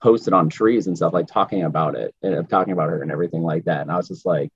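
A man's voice: quick pace, slight background noise. A man chatting casually as a podcast host, in an informal, slangy and emphatic way. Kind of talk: casual chat. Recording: roomy, muffled low-fidelity audio.

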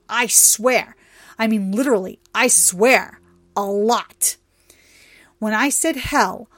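A woman's voice: medium pitch, no echo, close to an everyday voice. A woman playing a brash, defiant young demigoddess in an audiobook, blunt, irreverent and exasperated.

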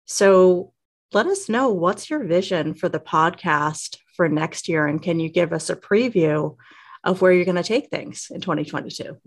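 A woman with a fairly low voice and noticeable vocal fry talks in a podcast interview. She is measured and affirming as she converses. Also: lively intonation. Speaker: guest interviewer, resilience specialist.

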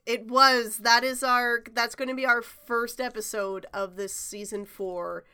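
Podcast co-host: young woman, a bit high and bright, stuffy-nosed, chatty and self-deprecating. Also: fairly even intonation, a little slow, clean tone. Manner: chatty, swears casually, self-mocking. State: stuffy nose from a cold. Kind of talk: casual podcast chat.

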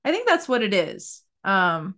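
A woman's voice: mid-range voice, wildly swooping pitch, a little slow, a loud crowd. A woman hosting a podcast interview, upbeat and effusive, laughing often as she chats. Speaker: podcast host. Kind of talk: casual interview chat.

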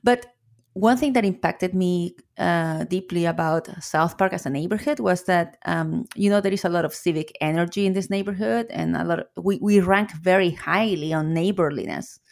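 A woman's voice: lowish voice, moderate pace, vocal fry, a little mellow. A woman from Chile with a Chilean Spanish accent, talking casually as an interview guest, often laughing and repeating words as she starts sentences. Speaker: guest, coffee shop owner.

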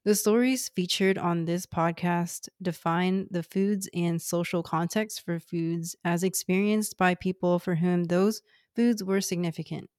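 Woman in her 30s from houston, first language cantonese, reading her podcast intro, lowish voice, dry close mic. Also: steady tone, a little slow, a little mellow, no room sound. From Houston, Texas, US. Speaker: podcast host.

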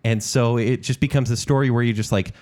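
A man's voice: mid-range voice, steady tone, fairly quick, a little mellow. A man co-hosting a casual movie review podcast, enthusiastic and teasing. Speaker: podcast co-host.

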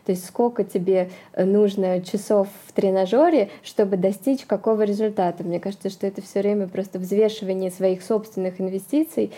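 A woman with a very dark, mellow voice at an ordinary pitch. She explains her ideas in a measured, conversational way. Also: fairly even intonation. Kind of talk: casual conversation.

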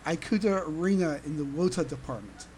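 A woman co-hosting a casual fan podcast, speaking haltingly and repeating words as they go. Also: low-pitched, dark tone, a little hiss or hum. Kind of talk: casual chat.

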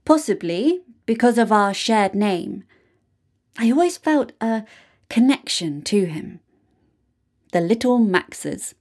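Female narrator, medium pitch, slow scripted storytelling with deliberate dramatic pauses. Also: a little mellow. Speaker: reader of a written true story. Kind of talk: scripted first-person narration.

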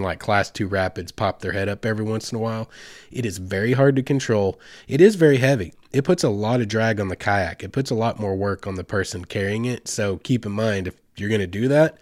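A man's voice: lowish voice, darkish tone, no room sound, clean studio sound. A man congested with a head cold gives casual, rambling instructional talk as a host, in a folksy, informal way with slang. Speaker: host giving outdoor trip advice.

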